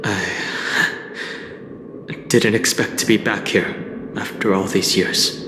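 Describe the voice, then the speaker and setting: A man's voice: on the low side, steady tone, drawn-out words, noisy background. An actor playing a brooding, reserved, stoic young man in a video game. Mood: somber, guarded. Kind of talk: English game voice acting. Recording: echoey hall with background ambience.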